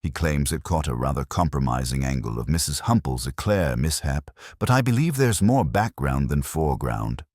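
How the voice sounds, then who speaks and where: Male reader as keen young woman reporter, mystery audiobook, chatty, confiding, lively intonation, dry close sound. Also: a bass voice, normal speed, few pauses, dry recording. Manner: chatty, conspiratorial. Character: bright, eager young female gossip columnist.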